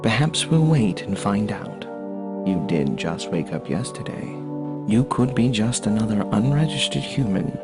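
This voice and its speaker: A man playing an imperious, condescending lord in an audio drama, speaking in measured, formal phrases. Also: on the low side, creaky. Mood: calculating. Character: imperious male lord ruling by fear, coldly condescending. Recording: loud music under the voice.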